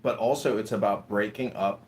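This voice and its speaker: Low-voiced man rambling casually. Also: fairly even intonation, moderate pace, slight background noise. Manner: rambling, swears, laughs between points. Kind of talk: casual conversation.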